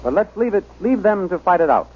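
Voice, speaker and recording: Casting: man, dramatic adventure-serial narrator, vintage radio, dull and clearly noisy recording. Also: high voice, sing-song, brisk.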